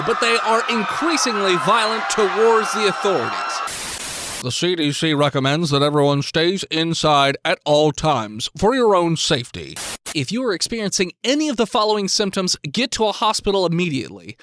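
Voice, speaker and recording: A man playing an excitable, melodramatic announcer in a parody emergency broadcast, theatrical and exaggerated. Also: high voice, noisy background, voice pushed up.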